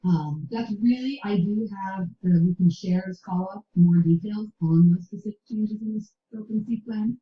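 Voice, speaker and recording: A woman's voice: mid-range voice, moderate pace, hardly any pauses, reverberant, lo-fi sound. A woman presenting a product to teachers over a call, casual and chatty with many fillers. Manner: casual, filler-heavy, with light laughs. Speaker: publisher sales representative.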